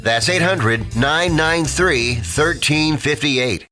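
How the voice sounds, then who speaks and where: A man's voice: fairly high pitch, takes it slowly, bright, forceful tone, faint music behind. A man playing a plain-spoken customer and announcer in a radio advertisement. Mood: matter-of-fact. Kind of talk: radio ad testimonial.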